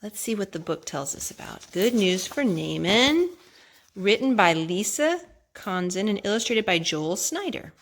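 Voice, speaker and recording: A mother reading a rhyming children's book aloud to her child, gentle and sing-song, pausing to ask him questions. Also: mid-range voice, very animated, slight background noise. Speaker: mother and storytime host.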